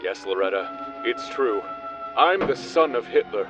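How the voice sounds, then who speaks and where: A man's voice: medium pitch, animated, a little slow, natural voice. A man playing a pompous, brazen secret son of a dictator in a melodramatic comedy radio play. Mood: flustered. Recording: dull lo-fi with music.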